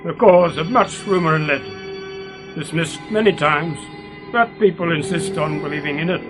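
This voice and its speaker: A man playing a formal, official tour guide with measured scripted commentary in an amateur sci-fi radio play. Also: a little high, lightly acted. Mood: informative. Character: formal, informative guide at a Martian preserve. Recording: loud guitar music behind voice.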